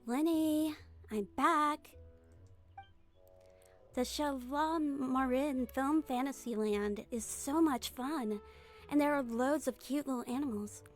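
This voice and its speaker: A woman voicing a composed, caring young female magician's assistant in a fan-dubbed game, measured and understated. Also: high voice, soft background music. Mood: gently coaxing.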